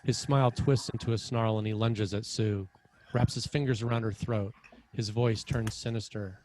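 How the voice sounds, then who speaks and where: Guy reading out script stage directions, lowish voice, bit of hiss and clicks. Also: steady tone, normal speed, darkish tone. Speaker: screenwriter narrating stage directions. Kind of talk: scripted narration at a table read.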